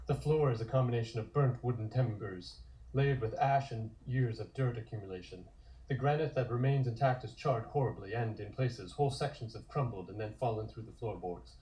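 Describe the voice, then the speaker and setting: A man reading scripted narration for a comic-book table read in a measured, descriptive way. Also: medium pitch, warm tone, low-quality recording.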